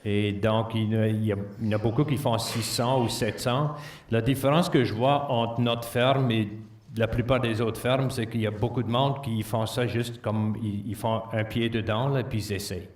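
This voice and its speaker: A farmer, a man whose first language is not French, answering audience questions in a plain, halting way. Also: lowish voice, steady tone. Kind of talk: Q&A at a conference. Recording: echoey hall, distant mic.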